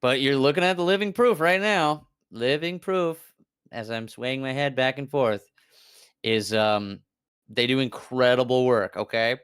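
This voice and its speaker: Man, mid-pitched, smooth resonant voice, dry close mic, casual cursing chatter. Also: unhurried, no room sound. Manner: animated, swearing, laughing, frequent fillers. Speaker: VTuber guest. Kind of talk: casual stream chat.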